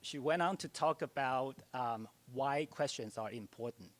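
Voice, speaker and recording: A man's voice: medium pitch, a little slow. A middle-aged man from Taiwan with a Taiwanese accent, a translator giving a public talk at a library, speaking hesitantly with many ums and light self-deprecating humor.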